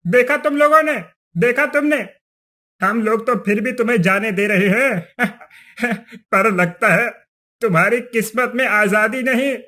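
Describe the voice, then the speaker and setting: A man's voice: extremely high pitch, measured pace, sharp tone, full character voice. A man voicing a cruel, greedy village headman in a cartoon story, sneering, accusing and blustering. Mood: gloating. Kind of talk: cartoon moral story voice acting.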